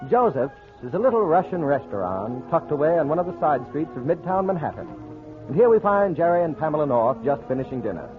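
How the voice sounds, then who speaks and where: A high-pitched male announcer with a formal, polished 1940s delivery. He sounds tinny, like an old broadcast, with music underneath. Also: very animated, brisk.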